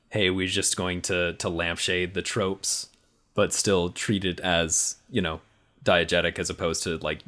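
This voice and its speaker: Casting: male game player, deep voice, conversational, thinking out loud in stops and starts. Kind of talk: casual, halting explanation of a character.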